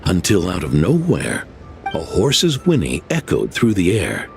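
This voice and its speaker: A lowish, expressive voice of a proud old innkeeper, grave and lecturing. His pitch rises and falls dramatically as he tells the tale. Soft music sits in the background. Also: unhurried, creaky, heavily acted. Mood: storytelling. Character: elderly male innkeeper, proud and stubborn storyteller. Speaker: guest house keeper. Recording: game audio with faint music.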